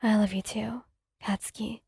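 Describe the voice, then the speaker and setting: A woman voicing a devoted young partner in a soft, breathy whisper. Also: medium pitch, fairly even intonation, moderate pace, dry recording, studio quality, voice pushed down. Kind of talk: ASMR fanfic reading.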